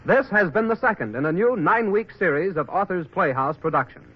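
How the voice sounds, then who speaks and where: High-voiced 1940s male radio announcer. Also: expressive, a little fast, husky, dull audio. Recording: band-limited 1940s radio broadcast.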